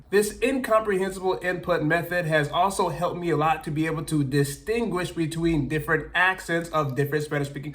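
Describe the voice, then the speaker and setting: Guy with a kinda high voice, super passionate, chatty, laughing here and there. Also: a bit bright. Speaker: language learning YouTuber. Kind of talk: casual explainer to camera.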